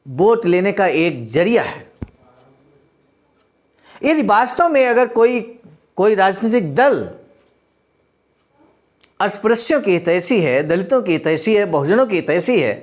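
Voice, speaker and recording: A man's voice: sits high, big pitch swings, measured pace, pauses often. A man reading a speech aloud and explaining it, addressing his listeners in an earnest, exhortative way. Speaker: speaker reading and commenting on a historical speech.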